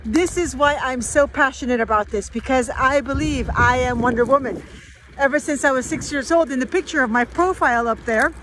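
A woman's voice: high voice, slight background noise, poor audio. A woman in her forties, from Peru and raised in the United States, chatting upbeat and emphatic in a casual live selfie vlog, filmed on a phone held at arm's length outdoors by a riverbed. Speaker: vlogger hosting a weekly giveaway.

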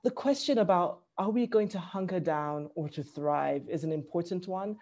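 A woman's voice: lowish voice, dark tone. A middle-aged woman with a Zambian accent giving conversational panel remarks over a video call from a small office. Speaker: small business owner, webinar panelist. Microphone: headset on a video call.

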